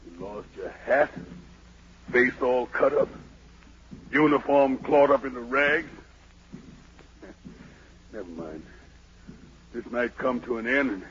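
An actor playing a frightened, boastful fugitive emperor with a gravelly American voice in 1940s radio drama, theatrically muttering to himself and crying out. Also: male voice, mid-range voice, a bit bright. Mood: weary bravado. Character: fleeing self-made emperor, frightened, boastful, haunted, superstitious fugitive. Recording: noisy, muffled 1940s radio broadcast.

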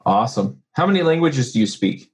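A man's voice: mid-range voice, big pitch swings, a little fast, slightly rough. A man hosting a tech podcast, chatty and friendly, who laughs easily. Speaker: podcast host.

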